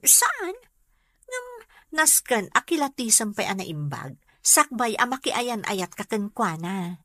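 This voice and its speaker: Bright-voiced, sensible young Ilocano woman. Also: a little high, very animated, slow, no room sound, studio quality, exaggerated voice. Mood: admonishing. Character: sensible, dutiful young working woman, firm and practical. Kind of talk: Ilocano radio comedy-drama acting.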